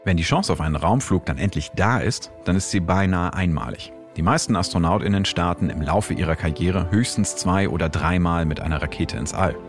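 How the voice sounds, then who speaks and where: Male podcast science host, low register, scripted explanatory read over faint music. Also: fairly quick, hardly any pauses.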